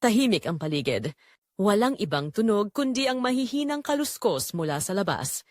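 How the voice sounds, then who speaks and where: A Filipino woman tells a scripted dramatic story in a mid-pitched voice. Her intonation moves widely from phrase to phrase. The sound is dry, with hardly any room on it. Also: sing-song, moderate pace, no echo. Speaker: storyteller and channel narrator.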